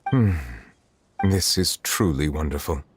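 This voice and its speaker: A low-voiced, stately male judge from a game. He speaks formally and with reserve, in measured diction, with long hall reverb around him. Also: animated, a little hiss or hum, full character voice. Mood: composed. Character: dignified, reserved, formal high-ranking judge. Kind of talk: video game voice acting.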